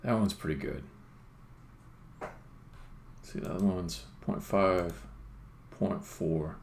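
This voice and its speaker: Deep, gravelly man thinking aloud. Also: slow, a little mellow. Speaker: game developer live-streamer. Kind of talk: casual live-coding commentary, thinking aloud. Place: indoor room at a computer. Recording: roomy echo, faint background noise, keyboard typing.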